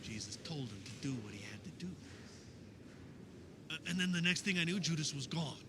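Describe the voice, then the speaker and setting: An actor playing an earnest, uneasy disciple of Jesus, telling his story in a halting, conversational radio theater monologue. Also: a little high, animated, background noise, close to an everyday voice. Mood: uneasy, wondering. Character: earnest, uneasy male disciple of Jesus recalling the last supper. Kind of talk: dramatic first-person radio theater monologue.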